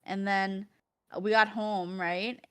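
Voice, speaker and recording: A woman's voice: mid-range voice, fairly even intonation, takes it slowly, smooth. A young woman from Connecticut chatting casually while streaming, rambling through stories and laughing often. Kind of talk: casual chat while gaming.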